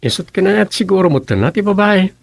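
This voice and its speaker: A man's voice: high voice, lively intonation, brisk, gravelly, no room sound, clean studio sound, full character voice. A man playing a bossy, talkative townsman with a scolding, gossipy manner in a radio comedy-drama.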